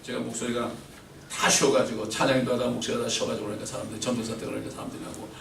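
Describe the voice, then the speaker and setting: A middle-aged man preaching a sermon, swinging between loud emphatic peaks and quiet asides and asking the congregation questions. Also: medium pitch, level tone, racing, vocal fry, a little hiss or hum. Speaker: pastor. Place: church sanctuary. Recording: roomy church echo from far off.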